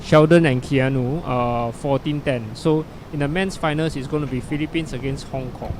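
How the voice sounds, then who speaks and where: A man's voice: medium pitch, steady tone, normal speed. A middle-aged Singaporean man with a Singaporean English accent giving loose, chatty live commentary on a lawn bowls final, full of fillers and asides. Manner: chatty and loose, many fillers, self-deprecating. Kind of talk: live solo sports commentary. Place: outdoor bowling green. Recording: noisy outdoor live stream.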